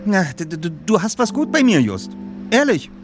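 A man voicing an enthusiastic, joking teenage boy detective in audiobook dialogue, talking in a lively, colloquial way. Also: high voice, normal speed, over music, pitched above the performer's own voice.

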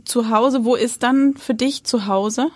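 A woman's voice: on the high side, unhurried, husky, no room sound. A woman hosting a podcast who asks thoughtful, searching questions. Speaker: podcast host. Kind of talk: conversational interview.

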